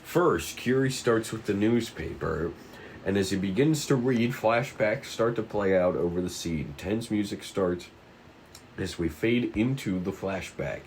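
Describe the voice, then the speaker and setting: A man narrating stage directions at a table read, haltingly and stumbling over the script now and then. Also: fairly low pitch, animated, darkish tone, slight background noise, low-quality recording.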